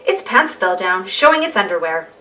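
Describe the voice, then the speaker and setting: A woman reading a children's storybook aloud in an animated narrating style. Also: medium pitch, moderate pace, far from the mic, rough recording. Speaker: storybook reader.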